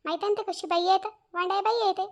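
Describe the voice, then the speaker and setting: An extremely high-voiced village wife , played by a woman in a Marathi cartoon. She talks fast and eagerly in a Varhadi accent, always ready to agree and gossip. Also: fairly even intonation, dull audio. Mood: indignant, gossipy. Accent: Varhadi Marathi from Vidarbha. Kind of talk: Marathi cartoon family-drama dialogue.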